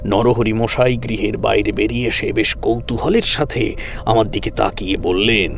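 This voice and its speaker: A man narrating a horror audio story in the first person with measured, suspenseful, dramatic delivery. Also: on the low side, creaky. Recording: music under the voice. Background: background music.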